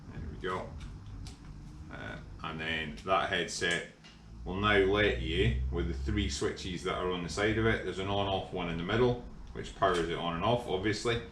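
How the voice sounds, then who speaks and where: A middle-aged Scottish man casually explaining a kit installation in a workshop, relaxed and full of ums. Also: sits low. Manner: relaxed, hesitant with frequent ums. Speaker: workshop vlogger. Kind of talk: casual how-to demonstration. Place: large indoor workshop. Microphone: camera mic from overhead. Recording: echoey workshop with faint hum.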